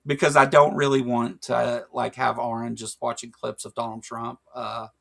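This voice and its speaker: Man, Kentucky accent, gravelly voice, rambling casual livestream talk full of fillers. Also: medium pitch, little pitch movement, normal speed, pushed and bright. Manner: rambling, filler-heavy, joking. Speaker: podcast co-host.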